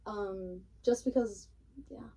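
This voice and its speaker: A woman's voice: mid-range voice, slow pace, dark tone, recorded from a distance. A young woman chatting casually and informally as a podcast guest, in a conversational, filler-heavy way. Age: young adult. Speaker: cake decorator being interviewed.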